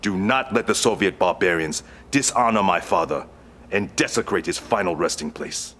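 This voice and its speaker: A proud, vengeful samurai prince delivers solemn orders in a game cutscene. His voice sits fairly low and carries formal command, with some background noise around it. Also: moderate pace, fairly bright tone. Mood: indignant defiance. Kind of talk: video game cutscene acting.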